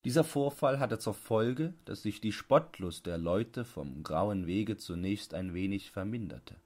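A man with a low-pitched voice narrates literary prose from a script. His delivery is measured and deliberate, in the manner of a bedtime audiobook. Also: expressive, a little mellow.

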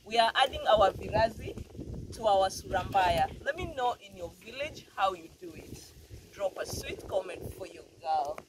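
A woman's voice: medium pitch, lively intonation, normal speed, very noisy. A young woman narrates her village cooking video, speaking directly to her viewers in a friendly way. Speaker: cooking vlogger. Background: loud background music.

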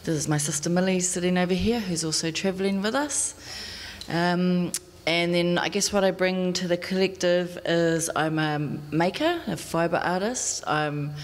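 A chatty woman with a New Zealand accent introduces herself on an art panel and laughs easily. Her voice is slightly low. She speaks into a stand mic in a gallery with long reverb and faint hiss. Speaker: panelist, fibre artist. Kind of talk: casual self-introduction.

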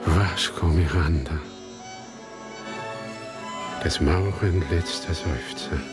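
An actor playing a haunted, remorseful man in a radio drama monologue, speaking slowly, hushed and solemn. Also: low voice. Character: haunted, remorseful man recalling his mother. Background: loud sitar music.

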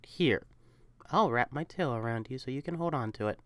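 A woman's voice: rumbling low, lively intonation, normal speed, dry recording. A young woman voicing an affectionate, teasing, protective catboy boyfriend in an intimate, coaxing ASMR roleplay. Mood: playful, chiding. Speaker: audio roleplay performer.

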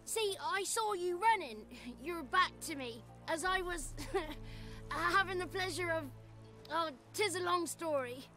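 High, bright, chatty little godling. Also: animated, slow pace, faint music behind, exaggerated voice. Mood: cheerful, teasing. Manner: chatty, rustic old-fashioned turns of phrase. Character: Johnny, small chatty male godling, childlike, cheeky. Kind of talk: video game character acting.

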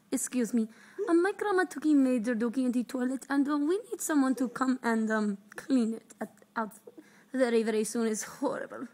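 A teenage girl hams up a fake complaint during a prank call. Her voice is high, and she sits a bit off from a laptop webcam mic in a living room. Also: normal speed, warm tone. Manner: exaggerated, hammy complaining. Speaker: prank caller.

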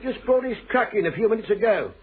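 An actor playing an obliging café owner in a British radio thriller, matey, casual and clipped. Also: male voice, high voice, very animated, quick pace, husky, full character voice. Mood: obliging, chummy. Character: chummy, obliging working-class male roadside café owner. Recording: noisy, tinny old radio drama recording.

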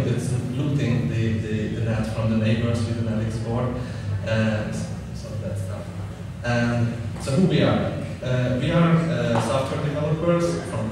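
A young man from Hungary speaking English with a Hungarian accent, giving a hesitant talk full of fillers and restarts on a stage, recorded from a distance. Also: mid-range voice. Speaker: hackerspace member giving a talk. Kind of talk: conference talk. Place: stage in a large hall. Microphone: room mic from a distance. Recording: echoey hall, distant mic, steady noise.

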